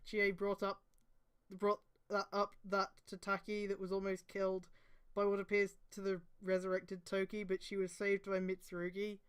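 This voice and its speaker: A woman's voice: mid-range voice, a bit bright, smooth. A woman reading amateur fan fiction aloud on a stream, breaking off for casual, exasperated asides with some swearing.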